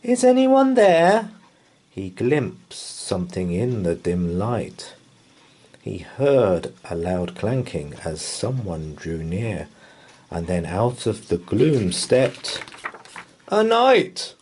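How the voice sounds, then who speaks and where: A man's voice: low-pitched, big pitch swings, slow, a little mellow, a little hiss or hum. A man reading a children's bedtime story aloud in rhythmic verse, with a theatrical, dramatic delivery. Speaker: storybook reader.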